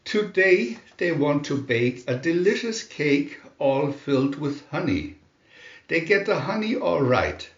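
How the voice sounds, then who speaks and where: Lively high-pitched man reading a children's story. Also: measured pace, roomy sound, lo-fi sound. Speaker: storybook narrator.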